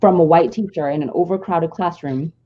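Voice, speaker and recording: Low-voiced woman telling a personal story. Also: a little fast, highs cut off. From Nigeria. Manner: earnest and reflective, long flowing stories. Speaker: podcast co-host.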